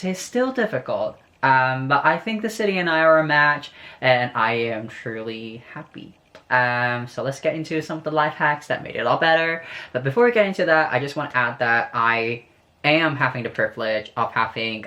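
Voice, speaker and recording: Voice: medium pitch, a bit bright. Candid, chatty young vlogger from Hong Kong, casual advice-giving delivery.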